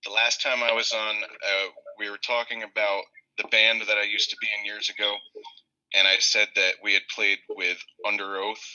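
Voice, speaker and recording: A man's voice: on the low side, level tone, moderate pace, sharp tone, smooth, highs cut off. A man from New York State, chatting as a podcast guest in a laid-back, swearing, casual way. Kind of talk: casual group podcast chat.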